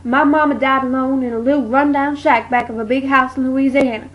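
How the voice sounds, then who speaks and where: A weary enslaved Southern woman acted by a woman in a puppet show monologue, longing to be free. Her voice is high, and the recording is dull and lo-fi. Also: steady tone, fairly quick, natural voice. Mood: longing, resolute.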